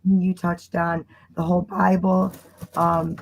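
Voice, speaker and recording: Hoarse woman calling into a stream. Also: lowish voice, flat delivery, measured pace, low-quality recording. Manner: polite, hesitant, eager. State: losing her voice. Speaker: caller joining a stream.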